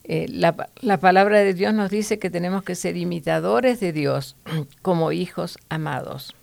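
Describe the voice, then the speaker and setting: Gravelly-voiced woman teaching Bible lessons. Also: on the low side, unhurried, darkish tone, dry recording. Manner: earnest, preachy, with fillers and restarts.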